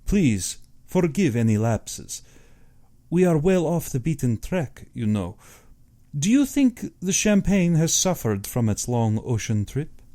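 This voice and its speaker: Man acting a suave Russian general, lilting higher. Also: very animated, dark tone. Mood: affable, probing. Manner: courtly, measured, self-satisfied. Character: suave aristocratic Russian general, affable, sinister big-game hunter.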